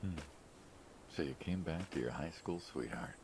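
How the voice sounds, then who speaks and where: Deep, gravelly American city-slicker mobster. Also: male voice, normal speed, a little mellow, a little hiss or hum. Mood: sympathetic, teasing. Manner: wry, conversational, inquisitive. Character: teasing city-slicker mobster, male friend, chatty, probing. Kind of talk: naturalistic game acting.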